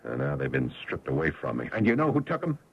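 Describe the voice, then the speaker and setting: A desperate middle-aged ex-husband in a radio play, stammering and emotional. His husky voice lurches wildly between low and high, on a thin, tinny recording. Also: wildly swooping pitch, quick pace, full character voice. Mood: bitter, desperate. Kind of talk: radio drama acting. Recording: tinny, band-limited radio drama recording.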